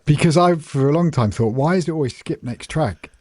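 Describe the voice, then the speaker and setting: A man's voice: on the high side, expressive, fairly quick, a little mellow, slightly rough. A man co-hosting a casual tech podcast, easygoing and agreeable in a chatty way. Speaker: podcast co-host.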